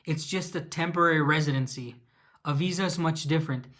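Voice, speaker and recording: A man's voice: fairly high pitch, fairly quick, fairly bright tone. A man with a Mexican accent hosting a casual live Q&A, reading viewer questions aloud and answering them with fillers and restarts.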